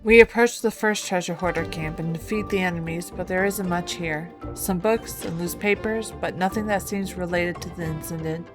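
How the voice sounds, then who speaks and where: A woman's voice: lowish voice, normal speed, few pauses. A woman reading scripted narration of a video game story as a storyteller. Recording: voice-over with music bed. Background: music.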